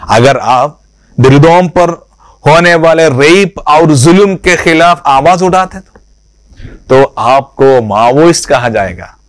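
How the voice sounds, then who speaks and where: A man who leads an organization delivers a political public address to supporters, speaking in a deliberate, emphatic way and often posing rhetorical questions. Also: on the high side, lively intonation, dry recording.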